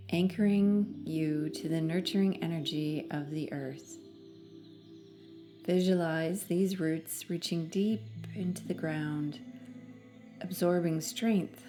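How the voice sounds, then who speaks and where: A woman narrating a guided meditation in a soothing, measured manner with long pauses between phrases. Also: low voice, mellow.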